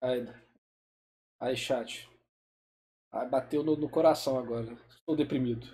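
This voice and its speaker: A man's voice: medium pitch, fairly even intonation, measured pace, mellow. A man reacting live to a video on stream, making joking, self-deprecating asides to his chat.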